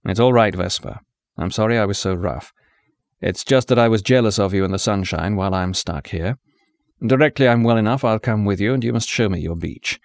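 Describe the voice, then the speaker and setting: A man reading a gruff, bitter wounded man. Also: lowish voice, expressive, a little fast, no room sound, studio quality. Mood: gentle. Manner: clipped, gruff and sardonic.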